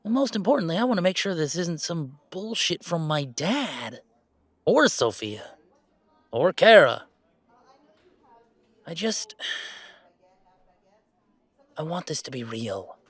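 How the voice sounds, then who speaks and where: A man playing an earnest, playful young werewolf gamer in an intimate, conversational roleplay audio, speaking in a halting, emphatic way. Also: a little high, breathy edge, dry recording, exaggerated voice. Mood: serious, uneasy. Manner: halting, emphatic, expressive, with sighs and chuckles.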